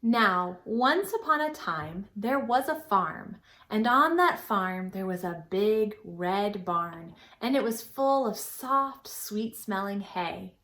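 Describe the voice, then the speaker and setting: A woman's voice: medium pitch, slow pace. A woman hosting a children's storytime, speaking in simple, encouraging, exaggerated phrases as she teaches and asks questions. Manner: exaggerated, animated delivery. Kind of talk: children's storytime lesson with questions and sing-song. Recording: roomy, echoing indoor sound.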